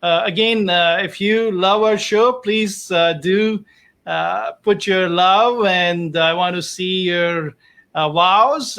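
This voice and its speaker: A man speaking as host of a casual interview show, in a halting, repetitive and encouraging way. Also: high-pitched, pushed and bright. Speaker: host, doctor interviewing his patient. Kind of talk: casual online show chat.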